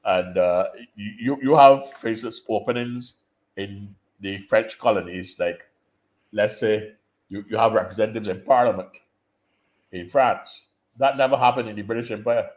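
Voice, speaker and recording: A man from Barbados, giving a measured conference talk and often restarting phrases as he explains. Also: on the low side. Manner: measured, explanatory, repeats words while thinking. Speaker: invited speaker, political scientist. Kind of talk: conference talk over video call. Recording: dull lo-fi call audio.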